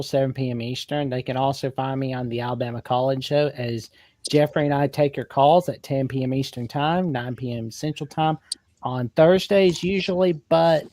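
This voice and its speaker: A man's voice: mid-range voice, darkish tone, no room sound. A man talking casually as a panelist on a live sports show.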